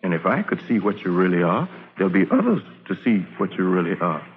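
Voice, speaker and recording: Casting: protective Southern working man, lower register, plainspoken, cautious; 1940s-style radio drama on a thin recording. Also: quick pace. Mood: quiet warning. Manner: plain folksy speech, steady and cautioning. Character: kindly, wary Southern laborer, protective of a spy. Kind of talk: old-time radio drama acting. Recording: narrow, noisy vintage radio recording.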